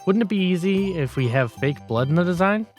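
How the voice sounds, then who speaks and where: Blunt, lazy male senior colleague, played by a man, grumbling manga-cartoon critic with lively intonation. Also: sits high, a little fast, soft background music. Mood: blunt, explaining. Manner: matter-of-fact, grumbling, critical. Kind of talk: manga dialogue voice acting.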